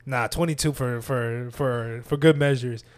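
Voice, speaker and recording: A man's voice: mid-range voice, fairly even intonation, a little slow. A man co-hosting a casual podcast, teasing his friend and laughing often, with frequent repeated words. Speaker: podcast co-host. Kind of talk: casual banter.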